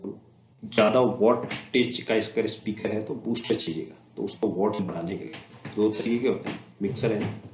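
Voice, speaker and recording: A man's voice: fairly low pitch, steady tone, normal speed. A man with an Indian accent giving an informal slide lecture as an instructor, mixing Hindi with English technical terms. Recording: echoey room, muffled lo-fi, faint noise.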